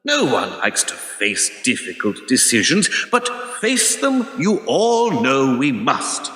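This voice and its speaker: An actor playing a slick, hard-nosed investment company man making a formal, measured and pointed public speech in a radio play. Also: fairly high pitch, bright, forceful tone, full character voice. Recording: echoey hall with long reverb.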